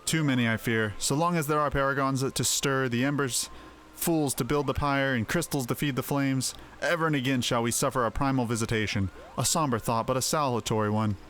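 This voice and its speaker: A man's voice: medium pitch, fairly quick, fairly bright tone, slight background noise, close to an everyday voice. A man voicing a gracious female elder seer from a fantasy game, in formal, archaic phrasing. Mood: solemn, gracious. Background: faint music.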